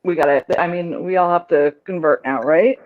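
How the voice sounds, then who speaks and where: A woman's voice: lowish voice, normal speed, phone-quality sound. A woman chatting casually as a guest caller on a crackly mobile connection, blunt and wry. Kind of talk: casual chat. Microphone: crackly mobile call.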